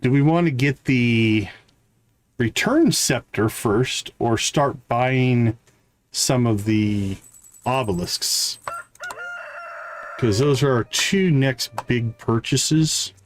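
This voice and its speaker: Guy streaming a game, thinking out loud, normal pitch, bit of noise behind him. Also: slow. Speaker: livestream host playing a video game. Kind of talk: casual game commentary, thinking aloud. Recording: clear background noise.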